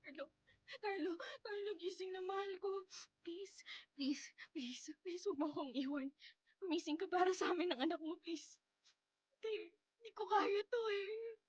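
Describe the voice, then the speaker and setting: A grief-stricken young Filipino wife in a radio drama. Her very high voice breaks with tears as she pleads and wails in repeated bursts. The sound is dry and close. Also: moderate pace, no echo, lo-fi sound. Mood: desperate sobbing. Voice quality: tearful, breaking voice. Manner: pleading, repetitive wailing.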